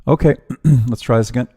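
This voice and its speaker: A man's voice: medium pitch, dark tone, breathy edge. A man producing the show, giving the guest practical instructions and muttering to himself while he fixes the setup. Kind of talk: technical setup talk.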